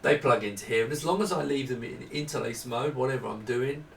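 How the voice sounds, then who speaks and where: A man's voice: mid-range voice, fairly quick, vocal fry. Middle aged guy explaining gear off the cuff, restarts sentences, mic kinda far away in an echoey room. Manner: hesitant, explanatory, often breaking off and rephrasing. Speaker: presenter demonstrating hardware prototypes. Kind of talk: informal unscripted product walkthrough. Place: small indoor workroom with desk. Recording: echoey room, distant mic, faint noise.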